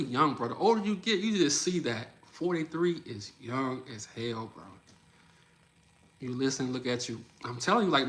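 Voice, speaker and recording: A man's voice: medium pitch, a bit bright. A man, chatting loosely and energetically on a livestream and often saying "bro". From Louisiana. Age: 30s. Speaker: livestream host. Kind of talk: casual livestream chat, reacting to comments.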